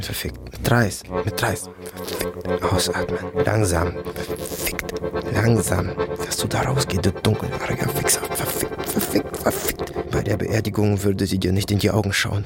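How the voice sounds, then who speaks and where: Radio drama monologue: bitter, wistful soldier, very deep, terse and repetitive, music underneath. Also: male voice, gravelly. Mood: bitter, muttering. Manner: terse fragments, repeating phrases like an incantation. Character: dark-blond soldier, bitter and wistful, remembering his family.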